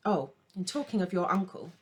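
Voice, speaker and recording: A woman voicing an uppity little male elephant shrew, brisk, impatient and officious, in a children's storybook. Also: lowish voice, big pitch swings, breathy edge. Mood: brisk, explaining.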